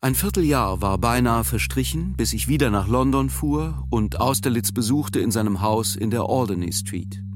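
An actor playing a thoughtful first-person narrator in a radio drama, telling his recollections in a measured, precise, sober way. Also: mid-range voice, animated, faint music behind, natural voice. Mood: sober, recollecting.

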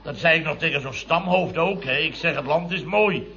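An actor playing a grumbling middle-aged building contractor boss who spins chatty anecdotes in a vintage radio comedy. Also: male voice, fairly high pitch, normal speed, creaky. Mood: indignant storytelling. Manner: chatty, grumbling anecdote telling with tag words. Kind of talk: 1960s-70s Dutch radio comedy acting. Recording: dull vintage radio recording.

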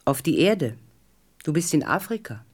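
An actor voicing a mysterious, calm snake in a radio play, speaking in a measured, cryptic and solemn way. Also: medium pitch, breathy edge.

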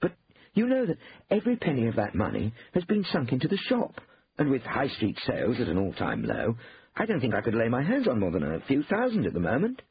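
Man acting an anxious, evasive brother, high and animated. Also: fairly quick, highs cut off, a little hiss or hum. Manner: incredulous, flustered, evasive. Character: anxious, evasive, tight-fisted brother, male shopkeeper.

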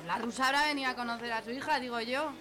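Woman acting a sassy high-pitched market woman. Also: steady tone, very fast, background noise, natural voice. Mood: know-it-all. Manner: blunt, slangy, scolding. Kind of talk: comic radio serial acting. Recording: crowd chatter behind.

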